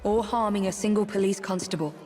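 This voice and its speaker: A woman's voice: mid-range voice, fairly quick, close to an everyday voice. An actress playing a determined young female assassin in a video game cutscene, speaking in a resolute, searching way. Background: game score music.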